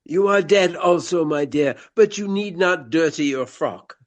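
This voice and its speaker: A man acts out a theatrical gentleman of the Regency stage in a radio drama. He declaims at a very leisurely pace in a fairly high male voice, courtly and earnest, savouring florid phrases with hammy grandeur. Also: measured pace, highs cut off, natural voice. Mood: courtly, polite. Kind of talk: radio play acting, declaimed Shakespeare.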